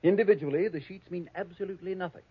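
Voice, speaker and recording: Pompous heir, husky and formal. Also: male voice, sits high, rapid-fire, no echo. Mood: precise, superior. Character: prim, conniving, avaricious male heir. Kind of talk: 1940s radio acting. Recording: thin, phone-like old radio recording.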